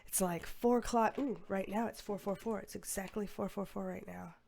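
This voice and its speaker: A young woman reading tarot cards to camera in a casual, rambling way, her voice going hoarse. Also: medium pitch, steady tone, moderate pace, darkish tone. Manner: rambling, filler-heavy, with sighs. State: losing her voice, a bit hoarse. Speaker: tarot reader.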